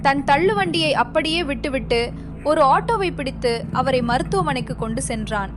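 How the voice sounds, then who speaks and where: High-pitched woman narrating a moral tale. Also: animated, normal speed, background noise. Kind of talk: scripted moral story narration.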